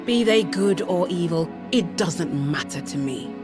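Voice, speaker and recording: A woman's voice: lowish voice, animated, a little slow. An actress playing a solemn female warrior of the gods, grave and restrained, in a video game cutscene. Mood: grim, restrained. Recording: music behind.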